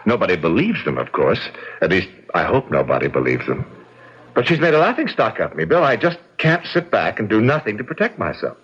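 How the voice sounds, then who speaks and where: A man's voice: on the low side, huge pitch swings, brisk, fairly bright tone, husky, exaggerated voice. An actor playing a calculating, indignant man suspected of murder, in emphatic, theatrical radio mystery style. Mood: aggrieved, self-justifying. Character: smooth, calculating, aggrieved brother-in-law suspected of murder. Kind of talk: old-time radio mystery acting. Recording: dull, lo-fi radio drama recording.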